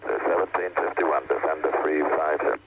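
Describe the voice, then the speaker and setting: A man working as an airline pilot, giving brisk, routine radio readbacks. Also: a bass voice. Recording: cockpit radio with noise.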